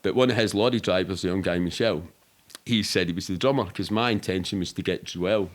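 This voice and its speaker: A man's voice: low-pitched, brisk. A middle-aged Scottish man telling anecdotes casually in a colloquial, rambling, sweary way, as an interview guest.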